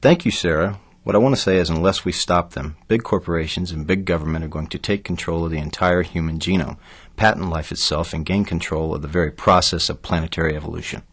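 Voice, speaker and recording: Man playing a low, husky crusading author. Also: steady tone, fast, fairly bright tone, full character voice. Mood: earnest, urgent. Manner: earnest, preachy. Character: earnest male activist author, alarmed and self-promoting. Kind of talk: audio drama acting.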